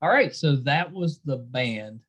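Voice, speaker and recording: A man's voice: mid-range voice, animated, measured pace, a bit bright. A middle-aged man hosting a casual interview, talking in an encouraging, complimentary way. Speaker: podcast host interviewing a band.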